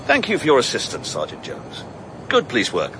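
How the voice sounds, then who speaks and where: An actor playing a brusque, blunt police inspector who scolds, then grudgingly praises in a radio drama. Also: male voice, mid-range voice, wildly swooping pitch, noisy background. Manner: brisk, blunt, gruffly approving. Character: brusque, gruff but fair police inspector.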